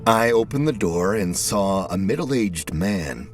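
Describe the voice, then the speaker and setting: A man narrating a scripted first-person story in a brooding, terse, deliberate manner. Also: fairly low pitch, animated. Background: background music.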